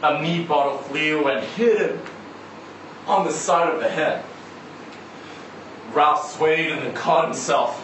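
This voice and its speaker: A man giving a dramatic live reading of a short story, with measured, deliberate phrasing and pauses. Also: a little high, fairly bright tone. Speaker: story reader at a live literary event. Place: indoor event venue before a live audience. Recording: echoey room, distant mic, noisy background.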